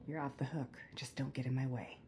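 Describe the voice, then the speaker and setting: A woman's voice: extremely low pitch, fairly quick, background noise. An actress playing a cool, curt, unbothered female bounty hunter in a video game. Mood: cool, deadpan. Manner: deadpan, unbothered.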